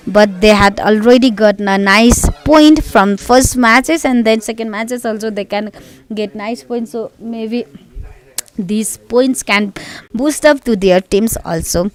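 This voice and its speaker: A woman with a Nepali accent calls a mobile battle royale match live in smooth, resonant tones. Her English is halting and full of fillers as she tracks teams and the circle. Her pitch sits mid-range. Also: poor audio. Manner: halting non-native English, frequent fillers. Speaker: esports caster. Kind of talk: live esports commentary.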